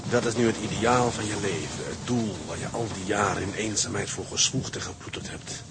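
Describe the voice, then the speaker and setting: An actor playing a solemn, reflective man in a Dutch period radio drama, measured in delivery. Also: lowish voice, natural voice. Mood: solemn, musing. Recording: loud ambient noise over the voice.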